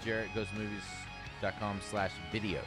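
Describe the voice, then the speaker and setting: A man co-hosting a live-streamed show, answering in a dry, low-key, joking way. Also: level tone, fairly quick, over music. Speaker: co-host. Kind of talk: casual live-stream chat.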